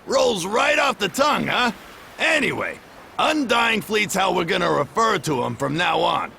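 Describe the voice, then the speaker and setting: A man playing a gruff, seasoned seafarer in a video game, gravelly and folksy with clipped dialect phrasing. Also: high voice, very animated, a little slow, pushed and bright, slight background noise, exaggerated voice. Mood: proud, firm. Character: gruff seasoned male Norman seafarer, plain-spoken elder.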